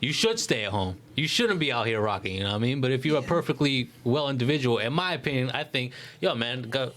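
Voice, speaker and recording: Fast-talking, opinionated young man. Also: medium pitch, expressive, fairly bright tone. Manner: slangy, opinionated, swearing. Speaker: podcast co-host. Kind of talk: casual group discussion. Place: small indoor room, group around table. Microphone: desk podcast microphone.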